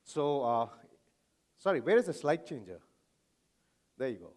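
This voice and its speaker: A man's voice: mid-range voice, very animated, measured pace, a little mellow. A man with an Indian accent giving an explanatory conference talk as a physician, addressing the audience informally with everyday examples. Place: hotel conference hall, speaking to an audience.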